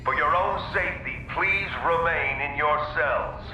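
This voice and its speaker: A man's voice: on the high side, unhurried, muffled recording, music in the background. A man playing a formal prison announcer over a public address speaker in a video game, stilted and very echoey. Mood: official calm. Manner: stilted, official. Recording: very echoey, distant loudspeaker.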